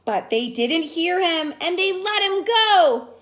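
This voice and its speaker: A woman teacher reading a picture book aloud in an expressive, sing-song way, stretching words and adding asides to young listeners. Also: very high-pitched, takes it slowly. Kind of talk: animated children's story read-aloud with asides to listeners.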